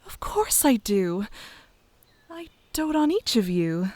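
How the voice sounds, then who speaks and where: A woman's voice: mid-range voice, sing-song, slow, a little mellow, dry recording. A woman playing a caring, decisive young princess in a fantasy audio drama. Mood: affectionate.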